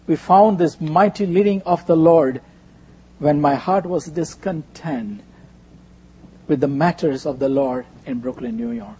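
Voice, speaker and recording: Male preacher, Indian accent, Gujarati native, high-pitched, husky, short sermon phrases. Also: a little slow, dark tone.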